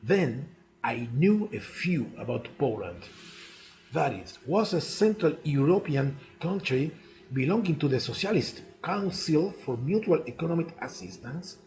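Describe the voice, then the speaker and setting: Male, 60s, Cuban Spanish accent, slightly higher voice, halting autobiographical monologue. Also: lively intonation, a little mellow. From Cuba. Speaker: chess player narrating own life story.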